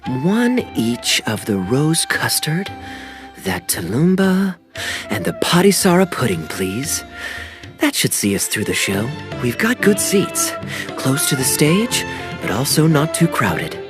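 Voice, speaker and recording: A suave young adventurer from a video game, smooth and teasing, his pitch swinging widely as he toys with each phrase. Game music hums softly under him. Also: sing-song, takes it slowly. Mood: charming. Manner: smooth, playful and charming. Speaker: game character. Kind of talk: video game cutscene dialogue.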